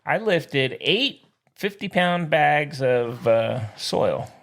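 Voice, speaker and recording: Animated, gravelly-voiced man bantering about sports. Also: fairly high pitch, very slow. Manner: blunt, slangy, teasing. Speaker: co-host of a sports podcast.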